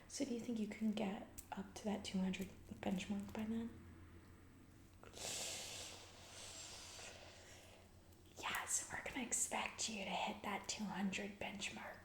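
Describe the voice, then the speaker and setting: A woman's voice: medium pitch, echoey room, natural voice. Whispering, self-absorbed lady boss played by a woman. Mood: patronizing. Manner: breezy, rambling, trailing off, corporate-speak. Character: distracted, self-absorbed, callous corporate CEO boss woman. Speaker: performer of a comedy ASMR roleplay. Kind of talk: whispered ASMR comedy character roleplay.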